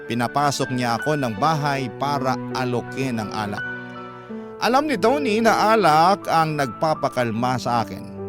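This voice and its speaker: A man with a Filipino accent narrating a first-person story in radio drama style. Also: mid-range voice. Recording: music under the narration.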